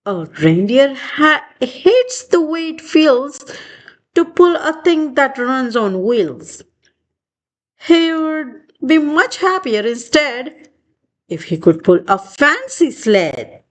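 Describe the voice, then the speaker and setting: A woman reading a children's picture book aloud in an expressive storytelling style. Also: high voice, slow pace.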